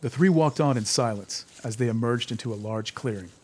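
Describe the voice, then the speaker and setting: A man's voice: mid-range voice, brisk, a little mellow, slightly rough. A man narrating a scripted audio drama as its storyteller. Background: background music.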